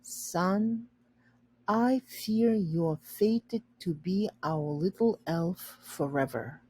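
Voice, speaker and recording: Woman acting exasperated alchemist father, grand, exclamatory, slow-paced, storybook character. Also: lowish voice, warm tone, breathy edge, full character voice, rough recording. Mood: sorrowful.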